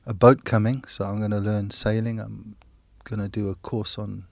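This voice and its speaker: A man's voice: on the low side, level tone, normal speed, gravelly, no room sound. Man, 60s, from Kenya and Zimbabwe, casual interview, wry chuckling humour, dry close recording. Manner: self-deprecating, chuckling humour. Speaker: teacher and handyman.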